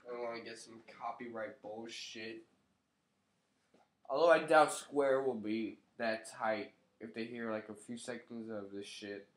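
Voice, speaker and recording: A young man with a congested, nasal voice, still getting over a cold, chats offhandedly into his webcam with plenty of swearing. He sits in his living room, and the camera's own mic picks up a roomy, echoing sound. His pitch sits in an ordinary middle range. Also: steady tone, unhurried. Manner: loose, profane, offhand. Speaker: vlogger. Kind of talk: casual commentary to camera.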